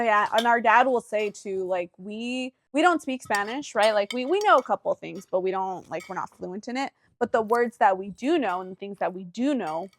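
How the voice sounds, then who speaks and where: A young woman from Texas co-hosting a podcast, talking in an animated, chatty way and often jumping in. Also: a little high. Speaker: podcast co-host. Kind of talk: casual chat.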